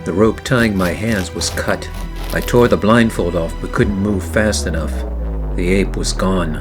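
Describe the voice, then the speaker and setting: Man playing a low-voiced deadpan noir detective, music behind. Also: close to an everyday voice. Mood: weary narration. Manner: deadpan, wry. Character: hardboiled, wisecracking 1940s male private detective narrator. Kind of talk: noir radio play, scripted first-person narration.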